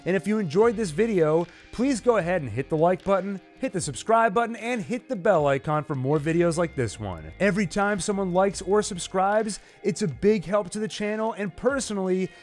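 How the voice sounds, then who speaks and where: A man presents a scripted recap and commentary. His voice sits a little high for a man and moves widely up and down, with measured phrasing and clear emphasis on key words. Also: lively intonation, a little mellow, soft background music. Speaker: channel host, narrator. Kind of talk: dramatized recap narration and commentary.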